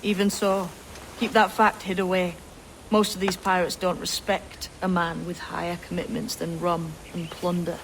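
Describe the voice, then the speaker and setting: Sly enigmatic young pirate, game cutscene, salty informal talk, noisy outdoors with bugs. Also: female voice, fairly low pitch, level tone, moderate pace, gravelly. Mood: matter-of-fact. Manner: knowing, informal seafaring talk. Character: shrewd, enigmatic young pirate mentor, guarded. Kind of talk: game cutscene drama acting.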